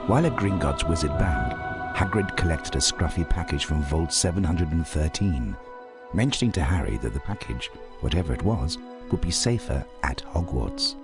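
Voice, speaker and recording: Deep-voiced male storybook narrator.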